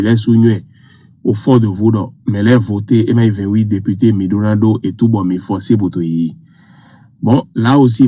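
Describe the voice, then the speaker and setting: A man phoning in to a live show, hoarse from a cold, speaking as a Goun speaker, rambling and halting with many fillers. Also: lowish voice, dark tone, dry recording. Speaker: caller.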